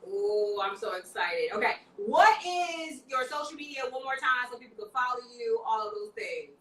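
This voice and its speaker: A woman's voice: fairly high pitch, animated, normal speed, fairly bright tone, reverberant, recorded from a distance, a little hiss or hum, poor audio. A woman hosting a podcast in casual chat, playful and a little tipsy from wine, slightly slurring. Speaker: podcast host.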